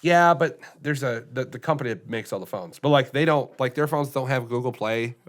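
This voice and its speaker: A man's voice: medium pitch, brisk. Rambling American man, casual podcast chat. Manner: rambling, many restarts and fillers. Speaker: podcast co-host.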